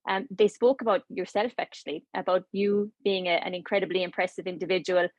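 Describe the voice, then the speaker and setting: A woman hosts an online panel interview. She speaks in a flat, even way, warmly encouraging her guests, with many fillers and repeated words. Her voice sounds dry and close. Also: on the low side, a little fast, no echo, muffled recording. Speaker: webinar host.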